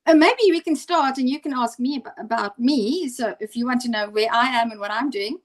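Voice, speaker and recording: Afrikaans lady chatting on a call, a bit high-pitched, keeps restarting her words. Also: brisk. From South Africa. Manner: hesitant, many restarts and fillers. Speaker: teacher and co-host. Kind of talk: casual video-call conversation.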